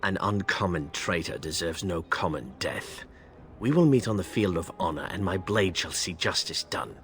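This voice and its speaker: A man's voice: sits low, normal speed, fairly bright tone. A man playing a proud, stern, honour-bound knight in a video game, formal and declamatory. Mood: accusing. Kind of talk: video game character acting.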